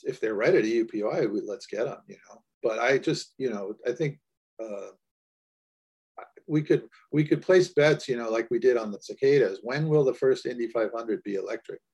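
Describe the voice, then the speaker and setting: Man, gravelly husky voice, podcast co-host, musing, joking. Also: mid-range voice, normal speed, darkish tone. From United States. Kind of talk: casual podcast discussion.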